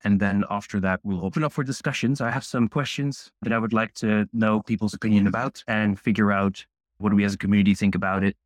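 A man hosting a live audio chat, speaking in a measured, slightly hesitant and friendly way. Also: on the low side, fairly even intonation, no echo. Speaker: podcast host. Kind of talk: casual hosting of an audio space.

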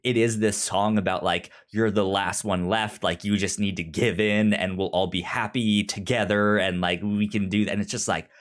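A podcast co-host chatting casually about a show, haltingly, often repeating starts while searching for words. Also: low-pitched, fairly even intonation. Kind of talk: casual chat recapping a TV show.

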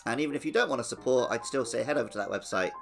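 A man's voice: medium pitch, rapid-fire, gravelly, over music. A man co-hosting a podcast, chatting casually about a video game playthrough. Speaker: podcast co-host.

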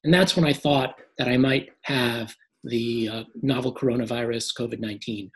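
Hoarse man, interview guest. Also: medium pitch, steady tone, poor audio. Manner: many "uh" fillers, measured. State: short of breath.

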